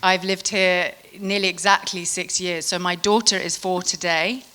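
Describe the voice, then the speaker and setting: A woman's voice: on the low side, fairly even intonation, moderate pace, pushed and bright. A British woman, a panel guest, introducing herself matter-of-factly with many hesitant ums. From England. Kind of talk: panel discussion.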